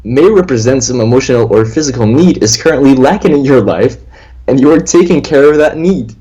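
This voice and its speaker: A man's voice: mid-range voice, animated, a little fast, warm tone, breathy edge, lo-fi sound. A twenty-year-old man co-hosting a casual livestream chat, rambling and often repeating himself. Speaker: co-host of a lucid dreaming stream. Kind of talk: casual livestream chat, reading viewer questions.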